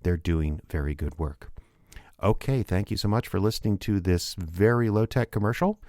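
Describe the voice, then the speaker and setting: A man's voice: lowish voice, normal speed, darkish tone, no room sound, studio quality. A man hosting a radio drama show, casually rambling through promotional plugs with frequent fillers. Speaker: show producer and host.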